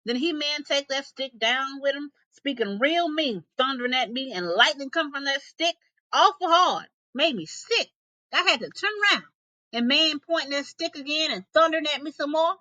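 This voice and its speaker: A woman's voice: sits high, lively intonation, normal speed, sharp tone, no echo, muffled recording, voice pushed up. A woman voicing a proud, bossy male lion in a folktale, declaiming in folksy dialect. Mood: aggrieved.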